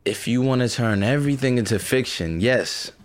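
A man's voice: medium pitch, moderate pace, slight background noise, close to an everyday voice. A man playing a caring but guarded boyfriend, firm and evasive, in an audio drama. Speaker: actor in audio fiction. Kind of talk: serialized audio drama acting.

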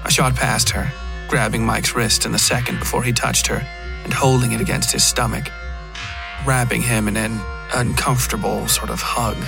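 Creaky-voiced man narrating dark fiction. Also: mid-range voice, little pitch movement, moderate pace. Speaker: narrator of a horror fiction podcast. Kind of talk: first-person scripted fiction narration. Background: guitar music.

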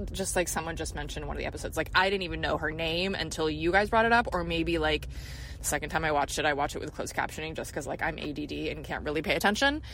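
A woman with a slightly low voice records a casual, rambling fan voice message. She is blunt and slangy, swearing freely and leaning hard on her points. A faint background noise sits behind her. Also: a bit bright. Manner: blunt, profane, slangy, emphatic. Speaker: fan leaving a voice message for a podcast.

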